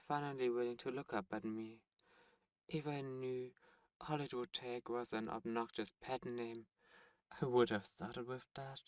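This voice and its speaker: A man playing a smug, teasing demon in an ASMR roleplay, speaking in a taunting, deliberate way with drawn-out pauses. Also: medium pitch, no room sound, recorded from a distance, close to an everyday voice. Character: smug teasing male demon buyer at a captive auction. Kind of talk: ASMR roleplay audio drama.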